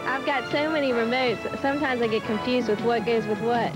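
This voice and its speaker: Lady, highish voice, 80s tv testimonial with music behind. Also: fairly even intonation, fairly quick. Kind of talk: testimonial in a TV consumer segment. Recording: 1980s TV audio with music.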